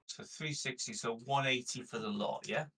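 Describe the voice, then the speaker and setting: A man's voice: mid-range voice, normal speed, sharp tone, far from the mic. A man playing a tabletop game, casually tallying up items and bargaining.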